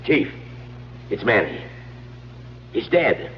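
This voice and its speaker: A slightly noisy, dull old radio recording of a police sergeant. His voice is on the low side and subdued. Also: very animated, slow. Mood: grim. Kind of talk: 1970s radio drama acting. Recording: dull 1970s radio broadcast, faint noise.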